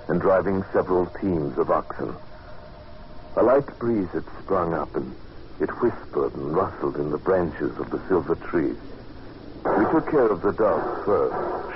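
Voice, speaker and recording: A grave, haunted adventurer with a very low voice tells his tale deliberately and dramatically. It is old radio horror acting, muffled, with plain background noise. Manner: deliberate, dramatic, halting when moved. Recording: dull, noisy old radio recording.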